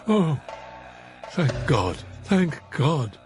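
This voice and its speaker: A man's voice: big pitch swings, extremely slow, a little mellow, soft background music, heavily acted. A man reading aloud and voicing a frightened elderly mother in a spooky story, with a raised, strained voice. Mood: anxious. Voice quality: high strained put-on voice. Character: frightened old woman, anxious mother.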